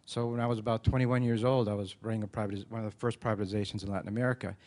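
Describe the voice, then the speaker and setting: A man from Ecuador telling his story at a conference in a plain, unhurried storytelling way with occasional uhs. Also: lowish voice, little pitch movement, a little mellow. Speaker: conference speaker. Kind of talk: personal conference talk.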